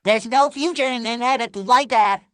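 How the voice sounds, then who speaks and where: A man's voice: very high voice, brisk, fairly bright tone. A man voicing an eager, pushy little cartoon creature who chirps like an insistent salesman in a commercial skit. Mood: cheerful, insistent.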